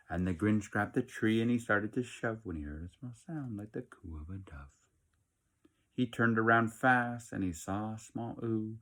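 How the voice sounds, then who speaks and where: A man with a low voice narrates a children's story in rhyme. He reads as a dad would, with theatrical emphasis and plenty of expression. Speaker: father reading aloud.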